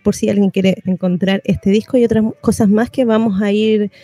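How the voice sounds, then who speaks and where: A Chilean radio host with a relaxed, dark-toned voice talks in an informal, chatty way. The delivery is that of a casual community radio interview, recorded close and dry with very little room sound. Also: mid-range voice, moderate pace, no room sound.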